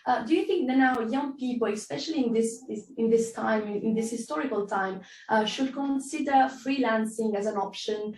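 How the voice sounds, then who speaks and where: A woman's voice: a little high, normal speed, hardly any pauses, a little mellow. A woman interviewer asking long questions, with fillers and self-corrections. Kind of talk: video call interview. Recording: echoey, distant from the mic.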